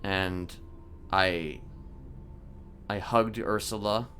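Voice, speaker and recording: A man in a game roleplay voicing a calm, sinister criminal manipulator who speaks haltingly and deliberately in formal words. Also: deep voice, faint music behind, full character voice. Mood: measured, confessional. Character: soft-spoken sinister male criminal manipulator, calmly confessing. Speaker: roleplay streamer. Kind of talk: improvised in-character game roleplay.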